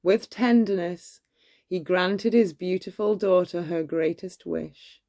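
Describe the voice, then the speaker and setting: A woman reading a bedtime story aloud in an expressive, measured way. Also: on the low side.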